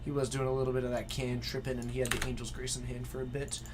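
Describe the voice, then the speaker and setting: A man's voice: mid-range voice, monotone, fast talker, over music. A man in his twenties, commentating a live card game stream from a game store with dry joking banter. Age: 20s.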